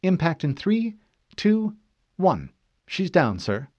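A man voicing a brisk, cool-headed female artificial intelligence aboard a warship in an audiobook, with clipped, matter-of-fact delivery. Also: on the high side, sing-song, no room sound, muffled recording, voice pushed up, full character voice.

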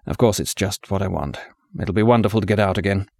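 A wounded, brooding man, curt and sardonic, read by a male narrator in a near-natural voice. The voice sits low. The sound is close and dry. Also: lively intonation, fairly quick, no room sound. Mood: gentle. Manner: clipped, gruff and sardonic.

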